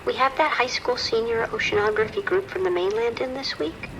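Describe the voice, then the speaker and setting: A woman's voice: on the high side, a little fast, noisy background, natural voice. A woman playing an earnest, hesitant camp counselor making a report in an audio drama. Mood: hesitant, concerned. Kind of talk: naturalistic audio drama acting.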